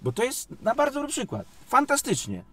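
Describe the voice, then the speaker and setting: A man's voice: extremely high pitch, wildly swooping pitch, fairly quick, a bit bright. A man giving unscripted interview answers as a sports executive, emphatic and defensive, repeating phrases and posing rhetorical questions. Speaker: sports league company president and club coach.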